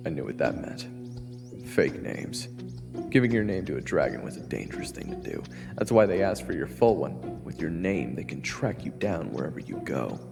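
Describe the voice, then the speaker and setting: A man's voice: low voice, sing-song, normal speed, creaky, long reverb tail, music in the background. A man narrating a first-person fantasy audio drama, telling the story as one of its characters.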